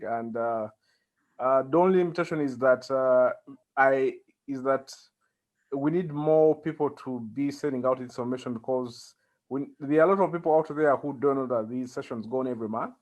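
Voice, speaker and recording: Male interview guest, Ugandan accent, mid-pitched, conversational, halting delivery with plenty of pauses. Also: a little mellow. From central Uganda. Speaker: interview guest, community organizer.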